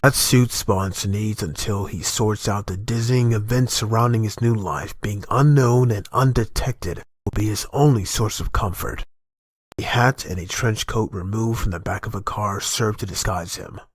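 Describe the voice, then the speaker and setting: Man, low pitch, dry close sound, gravelly, husky, dramatic comic narration. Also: moderate pace, dry recording.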